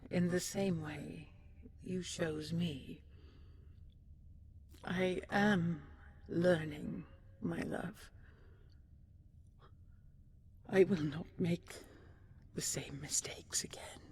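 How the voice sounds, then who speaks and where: A woman playing a tender, regal dragon queen in an intimate audio roleplay, soft and breathy, often dropping to a whisper, with gentle, halting endearments. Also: low voice, exaggerated voice. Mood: solemn, loving. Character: tender, regal female dragon queen consoling her beloved. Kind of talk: intimate audio roleplay, sleep-aid storytelling.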